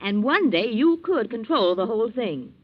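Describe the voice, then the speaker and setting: A woman's voice: fairly high pitch, big pitch swings, moderate pace. An actress playing a scheming, ambitious middle-aged mother in a theatrical, intense 1940s radio drama style. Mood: angry, bitter. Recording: muffled vintage 1940s radio recording.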